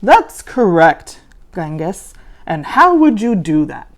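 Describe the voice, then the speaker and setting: A woman voicing a stern male monster teacher in a storybook, scolding and instructing with slow, exacting delivery. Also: on the low side, sing-song, exaggerated voice. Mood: exacting, instructive.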